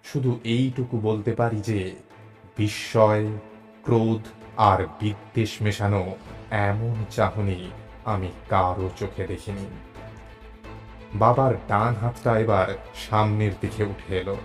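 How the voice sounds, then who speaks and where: A man narrating a suspense story in the first person, with deliberate, dramatic phrasing and pauses. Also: on the low side, fairly even intonation. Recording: narration over clear background music. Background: music.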